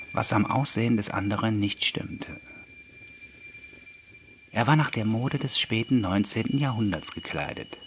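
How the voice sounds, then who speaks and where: A man's voice: on the low side, a little hiss or hum. A man reading an eerie literary story as an audiobook narrator, in scripted prose.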